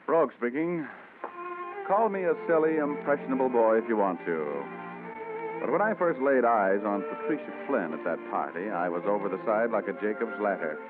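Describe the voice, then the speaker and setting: A man's voice: fairly low pitch, expressive, moderate pace, close to an everyday voice. An actor playing a hardboiled male private eye who narrates with wry, flowery wisecracks, in 1940s radio acting style. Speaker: detective narrator. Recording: tinny old radio broadcast with music.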